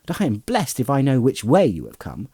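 A man's voice: medium pitch, huge pitch swings, slightly rough, pitched above the performer's own voice. A man voicing a cheerful young male hobbit, chatty and teasing, in a storybook reading. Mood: teasing relief. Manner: chatty, teasing, bright and brisk. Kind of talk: audiobook character voice.

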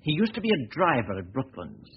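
An actor playing a confident, suave gentleman detective in a 1940s radio drama, brisk and clipped, on an old recording. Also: medium pitch, wildly swooping pitch, slightly rough, exaggerated voice. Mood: thoughtful. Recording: dull, noisy 1940 radio recording.

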